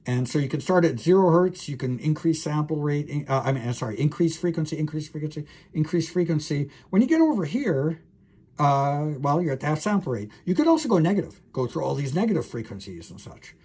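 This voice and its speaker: A male professor with a husky, slightly high-set voice gives an informal technical lecture. He thinks aloud, halting and correcting himself as he works through an explanation. He speaks straight to the student, as if checking that they follow. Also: lively intonation, mellow.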